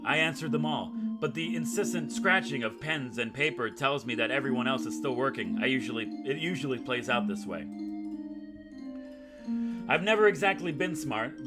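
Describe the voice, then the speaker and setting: A man hosting a gaming video, reading a visual novel aloud like a storyteller and dropping in casual, jokey commentary. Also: a little high. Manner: jokey asides, dramatic storytelling read. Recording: music playing behind the voice.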